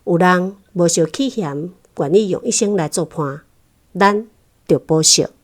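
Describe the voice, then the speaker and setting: A woman's voice: lowish voice, measured pace. A woman with a Taiwanese accent reading out a prepared personal reflection on a radio program. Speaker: guest reading her book reflections. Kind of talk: prepared spoken essay, recited slowly.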